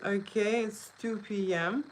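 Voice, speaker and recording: A woman's voice: medium pitch, fairly even intonation, slow pace, slight background noise, low-quality recording. A woman with a Lithuanian accent chatting casually on a livestream, answering viewers' questions and often adding "okay?" to her sentences. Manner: chatty, frequent "okay?" tags, non-native phrasing. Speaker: photographer hosting a live Q&A. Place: indoor studio. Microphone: phone.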